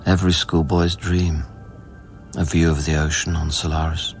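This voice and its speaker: An actor playing an earnest scientist on a space station in a radio play, brooding and deliberate in narration, urgent when questioning. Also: male voice, a bass voice, flat delivery. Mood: brooding, reflective. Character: earnest male scientist newly arrived on space station, recounting events. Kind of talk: radio drama acting with first-person narration. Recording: music behind the voice.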